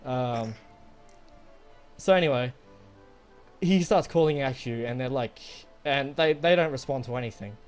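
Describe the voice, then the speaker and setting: A man's voice: mid-range voice, unhurried, long pauses, smooth. A young man casually telling a rambling, profanity-laden personal story to friends. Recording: music behind the voice. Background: background music.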